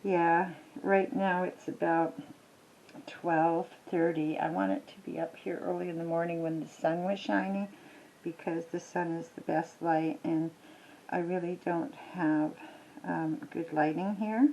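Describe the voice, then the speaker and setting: An older woman, retired, chatting casually with lots of ums while she works on a craft at home, her voice a little croaky. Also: on the low side, fairly even intonation, measured pace, warm tone, highs cut off, slight background noise. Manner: rambling, chatty, many ums and restarts. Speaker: crafting vlogger. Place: small home.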